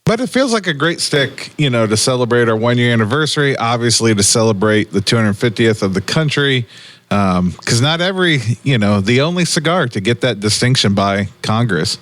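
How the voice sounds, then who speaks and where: A man's voice: medium pitch, animated, brisk, fairly bright tone. A man speaking conversationally as a podcast co-host. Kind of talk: casual chat about cigars.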